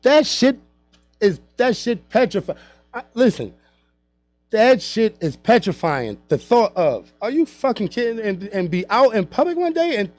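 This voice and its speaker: A man's voice: high-pitched, very animated, measured pace, a little mellow, no echo. A man co-hosting a radio talk show, rambling, cursing freely and repeating himself. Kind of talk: casual chat.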